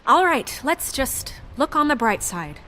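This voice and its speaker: A firm, practical young woman from a video game, speaks in a fairly high, very expressive voice. She is earnest and assertive, with a little noise behind her. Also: slow, full character voice. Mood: determined. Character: composed, firm young female deputy, exasperated but practical.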